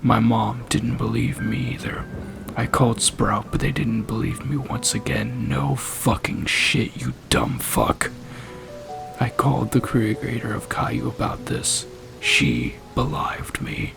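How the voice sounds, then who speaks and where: Male narrator-reviewer, very heavy vocal fry, unhurried scornful delivery, music underneath. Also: medium pitch, animated. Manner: deliberate, foul-mouthed, scornful. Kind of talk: dramatic reading and ranting review. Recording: music playing behind the voice. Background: background music.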